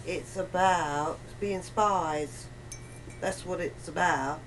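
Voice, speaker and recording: A woman's voice: on the low side, slow pace. A woman chatting casually, emphatic and often checking that her listener agrees. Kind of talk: casual chat, conversational. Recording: clearly noisy background.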